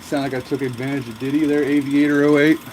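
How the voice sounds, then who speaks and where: A man's voice: mid-range voice, little pitch movement, quick pace, darkish tone, slight background noise. Nasal man chatting from his workshop. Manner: rambling and chatty, answers viewer comments. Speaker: woodturner streaming his work. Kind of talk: casual livestream chat. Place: cold home workshop.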